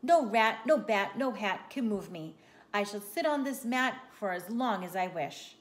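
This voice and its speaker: A woman's voice: medium pitch, animated, unhurried, lightly acted. A woman voicing a fat, lazy storybook cat who is stubborn, smug and dismissive.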